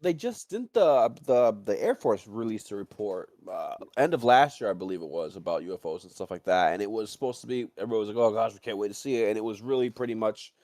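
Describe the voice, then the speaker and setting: Low-voiced man hosting a rambling casual chat. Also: dry recording, clean studio sound. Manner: rambling, circling back to rephrase questions. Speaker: stream host. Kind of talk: casual chat, interviewing a guest.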